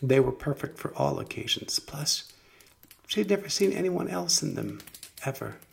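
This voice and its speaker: A man reading a children's story as narrator, in an expressive, deliberate storytelling manner. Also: fairly high pitch, creaky. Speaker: storybook reader.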